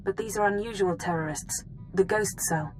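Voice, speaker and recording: An actress playing a brisk, composed intelligence officer in a video game, speaking in a formal, clipped and matter-of-fact way. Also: female voice, mid-range voice, faint music behind, natural voice, poor audio. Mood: brisk, businesslike. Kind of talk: video game dialogue, mission briefing.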